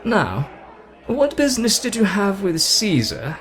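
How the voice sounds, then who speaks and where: A man's voice: high voice, wildly swooping pitch, unhurried, a bit bright, a faint crowd, heavily acted. A man voicing a brash, playful young British show-off who is boastful, teasing and theatrical in an ASMR roleplay. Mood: boastful, charming. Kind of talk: ASMR roleplay addressed to the listener.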